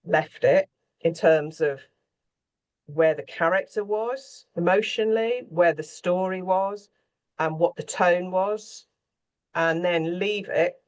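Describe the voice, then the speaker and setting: A woman's voice: low voice, highs cut off. Chatty woman explaining her work. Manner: discursive, chatty, self-interrupting. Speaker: scriptwriter, interview guest. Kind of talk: informal explanation in conversation.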